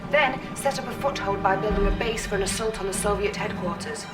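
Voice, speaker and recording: A woman playing a calm military intelligence officer in a video game, briefing in a formal, precise way. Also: mid-range voice, a little fast, recorded from a distance, natural voice. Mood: composed, encouraging. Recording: game audio with music behind.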